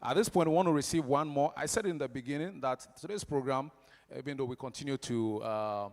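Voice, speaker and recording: A man's voice: mid-range voice, a little fast. A man working as master of ceremonies at an event, speaking emphatically and rousing the audience. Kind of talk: event emcee announcements. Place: large event hall. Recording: reverberant hall, long echo.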